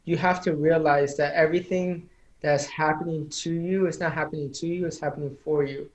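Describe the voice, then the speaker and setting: An earnest man whose voice sits a little high, giving motivational advice as a podcast guest. He speaks in a speech-like, encouraging way, and English is not his first language. The sound is echoey, as if he is in a bare room. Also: fairly even intonation, brisk. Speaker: interview guest, public speaking coach. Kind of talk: casual interview chat.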